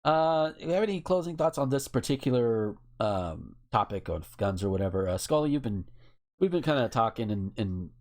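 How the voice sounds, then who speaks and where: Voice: mid-range voice, expressive, normal speed, a little mellow. An American podcast host talking casually and bluntly, with emphatic opinions and swearing. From United States.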